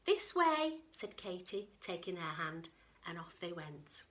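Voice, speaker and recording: A woman teacher giving an expressive storytime read-aloud for young children, with chatty asides to her listeners. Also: fairly low pitch, unhurried.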